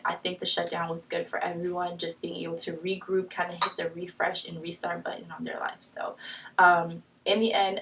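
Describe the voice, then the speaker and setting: A woman's voice: on the low side, a bit bright, recorded from a distance. A young woman from Long Island, New York, with a light Long Island accent, chatting casually in her first get-to-know-me vlog, full of ums and restarts. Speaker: new YouTuber.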